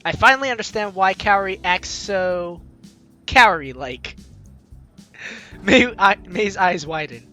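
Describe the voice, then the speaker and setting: Playthrough host: bright, forceful voice, very lively pitch, expressive reading mixed with off-the-cuff reactions, faint music behind. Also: slow, long pauses. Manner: exclaims and voices dialogue expressively. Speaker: gaming video host reading and reacting to a visual novel. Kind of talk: playthrough narration with animated ad-libbed reactions.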